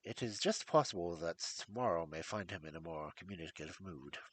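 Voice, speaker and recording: A man reading a story aloud, voicing a cool, incisive male detective who reasons in a measured, clipped way. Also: sits low, fairly bright tone, husky, voice pushed down. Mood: analytical. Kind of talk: audiobook character voice.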